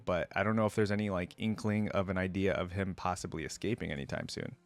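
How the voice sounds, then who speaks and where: Guy with a lowish voice doing a lore reaction, informal and a bit rambly, close dry sound. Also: no room sound. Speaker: host of a game lore reaction channel. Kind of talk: casual reaction chat mixed with reading aloud.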